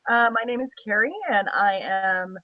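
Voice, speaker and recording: A woman's voice: mid-range voice, normal speed. A woman with an American accent, a language student introducing herself casually. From United States. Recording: thin phone-like video call audio.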